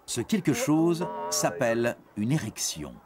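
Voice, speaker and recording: A man's voice: medium pitch, sing-song, unhurried, very noisy. A man narrating an educational cartoon in a playful storytelling way, speaking directly to a young listener. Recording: music under the voice. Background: background music.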